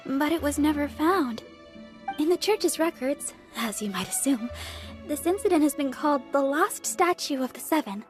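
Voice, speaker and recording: A woman playing a sweet, earnest young church member in a video game, polite, bubbly and helpful. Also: sits high. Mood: puzzled, explaining. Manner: polite, bubbly, thinks aloud. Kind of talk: video game character acting.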